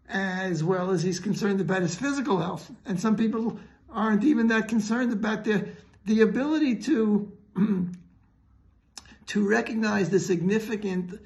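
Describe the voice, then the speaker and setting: A man giving an unscripted religious lesson as a rabbi, explaining earnestly and didactically with halting pauses mid-sentence. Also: high-pitched, creaky, darkish tone, poor audio.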